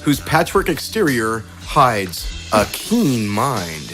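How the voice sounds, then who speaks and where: A man's voice: mid-range voice, sing-song, slow, over music. A man acting as game host, casually introducing and reading out character descriptions, often chuckling as he goes. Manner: chuckles through his announcements.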